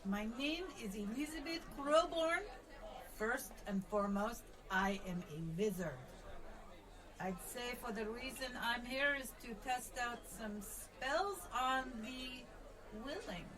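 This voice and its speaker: A woman's voice: on the high side, expressive, slow, crowd noise, rough recording. A woman playing a composed, slyly formal wizard in a comedy radio play.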